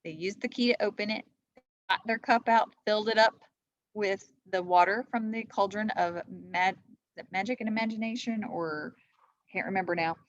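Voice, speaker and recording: A woman's voice: mid-range voice, moderate pace, dry recording. A woman reading a children's storybook aloud as a teacher would, in a slightly muffled recording. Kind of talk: storybook read-aloud narration.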